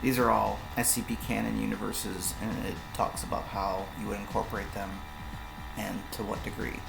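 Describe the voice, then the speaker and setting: A man with a low, gravelly voice walks through tabletop game rules in a loose, rambling way, full of ums and restarts. His voice sounds hoarse and worn. The room echoes around him and music plays underneath. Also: flat delivery. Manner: rambling, many fillers and restarts, explains with quick examples. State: hoarse, voice going. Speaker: game designer explaining his own tabletop RPG. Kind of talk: casual walkthrough of game rules on a stream.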